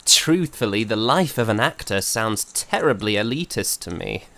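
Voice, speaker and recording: An actor playing a young composer in a period audio drama, courteous and earnest, with formal, slightly hesitant speech. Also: male voice, on the low side, lively intonation, moderate pace, creaky, pushed and bright, heavily acted. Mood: disdainful, musing. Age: young adult.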